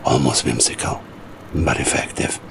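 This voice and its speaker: A man's voice: rumbling low, moderate pace, vocal fry, noisy background. A man playing a sinister Soviet psychic schemer with a Russian accent, silky, menacing and condescending, in a game cutscene. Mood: scornful, commanding. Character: sinister, soft-spoken Soviet psychic mastermind, a scheming adviser. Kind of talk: dramatic game cutscene acting.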